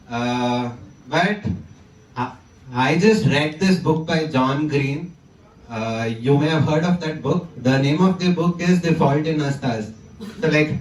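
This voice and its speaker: A man's voice: fairly high pitch, reverberant, far from the mic, poor audio. A man, who stammers and repeats words as he tells his story to a conference audience. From South India. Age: 20s. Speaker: conference speaker. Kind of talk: personal talk to an audience. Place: conference hall.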